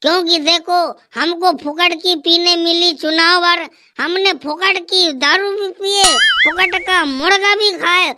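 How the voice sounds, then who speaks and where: A man voicing a drunken, wheedling husband in a rustic Hindi cartoon skit, his voice squeaky and artificially pitched up, blustering and pleading in repeated bursts. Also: steady tone, unhurried, pushed and bright, exaggerated voice. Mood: tearful confessing. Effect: pitch raised like a cartoon.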